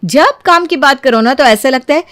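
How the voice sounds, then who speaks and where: An exasperated young Indian daughter-in-law from a cartoon family drama, put on by a woman. Her voice is on the high side and very dry. She complains dramatically, whiny and indignant. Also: expressive, moderate pace, a bit bright, no room sound. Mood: indignant complaining.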